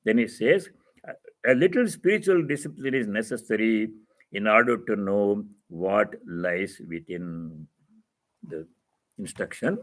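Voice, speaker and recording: A man with a husky voice giving a spiritual teacher's reading and commentary, in halting phrases with repetition and explanatory asides. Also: lowish voice, animated, poor audio.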